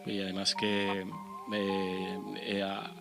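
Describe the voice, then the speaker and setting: Man, accent from Spain, slightly deep, hesitant conversational radio talk, repeats himself, background music. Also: monotone, takes it slowly. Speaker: radio panelist. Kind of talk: casual radio discussion.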